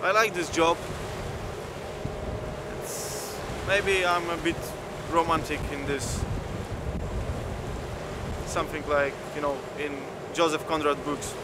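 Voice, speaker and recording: A young sailor speaks plainly and a little haltingly on the open deck of a ship, his voice fairly high for a man. Loud wind and waves press around the recording. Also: level tone. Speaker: ship crew member. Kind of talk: interview answer. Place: ship's deck outdoors at sea.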